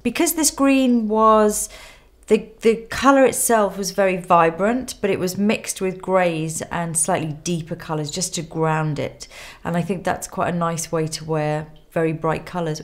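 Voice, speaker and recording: A woman in her thirties with a cold and a nasal voice, calmly talking through a makeup tutorial step by step in a studio. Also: on the low side. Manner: calm step-by-step instruction while applying makeup. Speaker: makeup artist. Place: indoor studio with plain grey backdrop.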